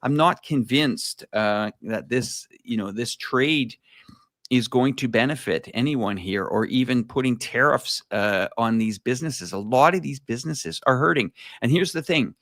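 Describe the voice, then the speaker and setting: A man's voice: mid-range voice, unhurried, a bit bright, no echo, clean studio sound. A man co-hosting a livestream, speaking in a casual, rambling way with many fillers and the odd laugh. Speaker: co-host.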